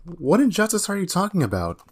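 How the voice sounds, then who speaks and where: A man's voice: high voice, huge pitch swings, a little fast, slightly rough, heavily acted. A man voicing a laid-back young male pony in a fan audio drama. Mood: curious. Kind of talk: animated-fandom audio drama acting.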